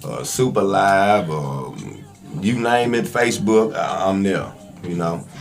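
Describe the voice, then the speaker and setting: A man's voice: sits low, animated, takes it slowly, gravelly, soft background music. A man with a Southern US accent telling stories as a podcast guest in a casual, slangy, conversational way. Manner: slangy, informal. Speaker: podcast guest, actor and online skit comedian.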